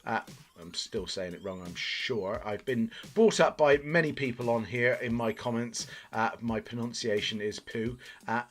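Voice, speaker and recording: A man's voice: medium pitch, normal speed. A man from Bristol with a local accent, giving rambling, self-deprecating, mildly sweary commentary as host of a gaming video. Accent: Bristol English. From Bristol, England. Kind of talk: casual video game commentary.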